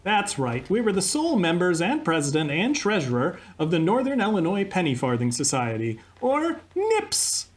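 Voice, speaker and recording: A man's voice: high-pitched, wildly swooping pitch, moderate pace, hardly any pauses, soft background music. An actor playing an eager male radio co-host who tells his story in puns. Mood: cheerful. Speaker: co-host of a radio comedy sketch. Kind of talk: live radio comedy acting.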